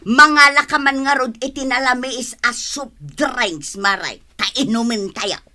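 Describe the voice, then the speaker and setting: A woman's voice: high voice, unhurried, pushed and bright, full character voice. An actress playing a teasing, exclaiming village neighbour woman with an Ilocano accent in a comic radio drama. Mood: boisterous.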